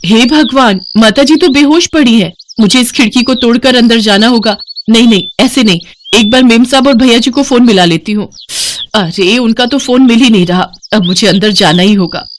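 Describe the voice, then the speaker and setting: A woman's voice: on the high side, moderate pace, slight background noise, lightly acted. Woman acting a housemaid, anxious, caring, earnest, urgent, moral story drama. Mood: alarmed.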